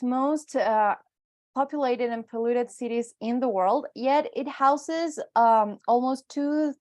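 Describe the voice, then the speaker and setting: A woman's voice: high voice, darkish tone. A woman with a Mexican accent giving an informal presentation in a conversational, explanatory way. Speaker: guest speaker, wildlife photographer and educator.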